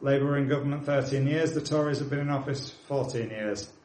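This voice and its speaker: Earnest British preacher in an echoey hall. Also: male voice, mid-range voice, fairly quick, a little mellow. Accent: British English. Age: 40s. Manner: earnest, exhorting, with plain asides to the congregation. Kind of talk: sermon.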